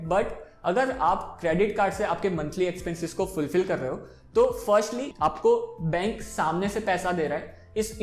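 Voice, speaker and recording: A man in his twenties with an Indian accent, explaining things informally and directly to viewers as a finance show host, switching between two languages. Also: sits high. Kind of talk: explainer to camera, mixing Hindi and English. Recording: roomy echo, faint music behind.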